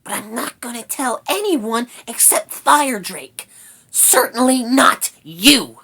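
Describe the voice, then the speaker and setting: A woman voicing an indignant little female rat in a storybook, squeaky and snappy, scolding and frantic. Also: big pitch swings, takes it slowly, vocal fry, pushed and bright, husky, full character voice. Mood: angry. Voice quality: shrill, squeaky.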